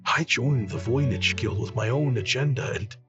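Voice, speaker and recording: A man's voice: mid-range voice, moderate pace, natural voice. An actor playing a remorseful, weary and protective desert man in a video game. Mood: humbled, disbelieving. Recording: slightly muffled game audio under music.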